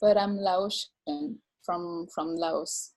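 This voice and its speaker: A woman from France, a dancer and teacher, telling her story in an interview with many pauses, fillers and repeated words. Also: fairly low pitch, lively intonation, vocal fry, a little mellow. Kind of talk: video call interview.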